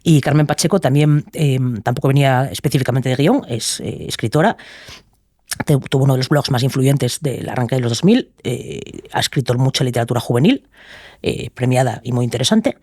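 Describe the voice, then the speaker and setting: A male podcast co-host with an accent from Spain presents in a chatty, informal way. He speaks quickly, and the sound is dry and close. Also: mid-range voice, darkish tone, no echo. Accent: Spanish from Spain.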